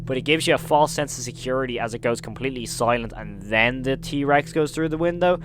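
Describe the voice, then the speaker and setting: A man's voice: medium pitch, brisk, slight background noise. A man hosting a casual recap commentary, telling the story emphatically and leaning on intensifiers. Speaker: video host and narrator.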